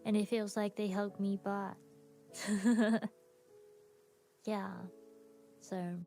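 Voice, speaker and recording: A young woman hosting her own podcast, tired, chatting casually with pauses and soft laughs. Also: mid-range voice, level tone. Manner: soft, rambling, frequent pauses and little laughs. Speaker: podcast host and streamer. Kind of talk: casual solo podcast chat. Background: soft background music.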